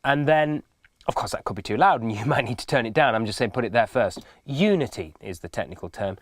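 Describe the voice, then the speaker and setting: A man's voice: mid-range voice, lively intonation, fairly quick, dry recording, clean studio sound. A man giving an informal, hands-on tutorial, explaining things patiently step by step. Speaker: instructor. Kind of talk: casual spoken hands-on tutorial.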